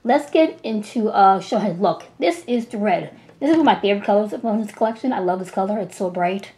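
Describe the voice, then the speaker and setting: A woman in her thirties, a little hoarse from a cold, talks through an art supply demo in an easy, chatty way. She gushes with enthusiasm, often restarts her sentences, and sounds close to a camera mic in a small room at home. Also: medium pitch, fairly quick, dark tone. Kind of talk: casual product demo.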